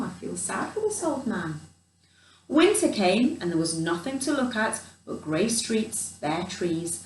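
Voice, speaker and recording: A woman reading a children's picture book expressively, in an animated storyteller's manner with chatty asides. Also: medium pitch, slight background noise. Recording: roomy echo, distant microphone.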